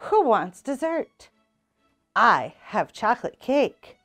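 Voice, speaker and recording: A woman voicing a patient, loving mother rabbit in a storybook, explaining in a gentle, measured manner. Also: fairly high pitch, sing-song, faint music behind, heavily acted.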